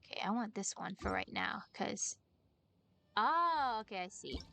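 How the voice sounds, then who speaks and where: A woman's voice: medium pitch, expressive, a little slow, vocal fry, sharp tone, a little hiss or hum. A woman who streams games, chatting casually as she plays, with music behind her. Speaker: game streamer. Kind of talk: casual chat while playing a game.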